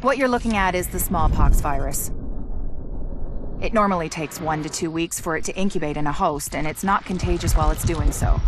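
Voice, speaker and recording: A brusque woman scientist played by an actress in a video game cutscene. Her voice sits on the low side and her delivery is dry and sarcastic, with prominent music behind her. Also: close to an everyday voice. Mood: grave, dry. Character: brusque, sardonic, impatient woman virologist. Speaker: virologist doctor.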